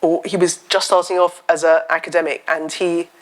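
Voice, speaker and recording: A woman novelist with a loud voice, talking at a live festival event on stage into a microphone, chatty and funny as she tells stories. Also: on the low side, brisk, slight background noise. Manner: chatty, animated storytelling with self-deprecating humour. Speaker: novelist guest. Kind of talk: live on-stage literary chat. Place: festival stage before an audience.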